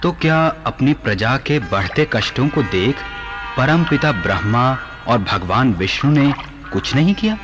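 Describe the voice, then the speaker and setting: An actor playing an earnest, curious sage who asks questions in a theatrical radio drama manner. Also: male voice, mid-range voice, sing-song, measured pace. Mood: concerned curiosity. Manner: theatrical, inquiring. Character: earnest, curious male sage listening to legends. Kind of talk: mythological radio drama acting. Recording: studio radio play with music behind.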